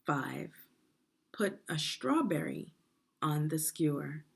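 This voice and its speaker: A woman leads a guided reading lesson for children in a fairly low voice with a slightly husky, creaky edge. She phrases each part deliberately and instructively, pausing to pose questions to young readers. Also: very animated.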